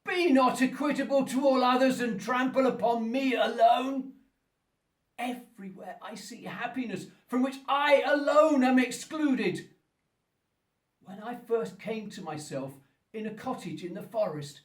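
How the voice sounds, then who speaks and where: Wretched eloquent monster, very high voice, radio play, grandiloquent, roomy and distant. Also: male voice. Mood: anguished, pleading. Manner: slow, grandiloquent, pleading and menacing delivery. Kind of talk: theatrical radio play acting. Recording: roomy echo, distant from the mic.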